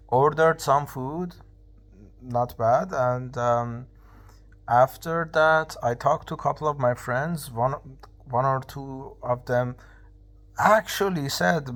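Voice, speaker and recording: A man in his twenties from Iran, speaking English, rants bluntly and profanely in a casual vlog, pausing and restarting often. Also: a little high, vocal fry. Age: 20s. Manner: halting, many fillers and restarts. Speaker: vlogger.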